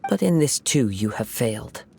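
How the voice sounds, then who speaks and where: Calm young swordsman, slightly gravelly. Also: medium pitch, slight background noise. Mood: solemn. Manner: measured, poetic, solemn. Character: calm young wandering swordsman, gentle but resolute. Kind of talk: video game voice acting.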